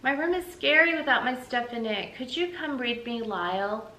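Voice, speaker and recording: A woman's voice: fairly high pitch, expressive, moderate pace, bright, forceful tone, pitched above the performer's own voice. A woman voicing a sleepy, needy little sister in a children's book read-aloud. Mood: plaintive. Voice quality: slurry, drowsy. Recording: roomy, noticeable background hiss.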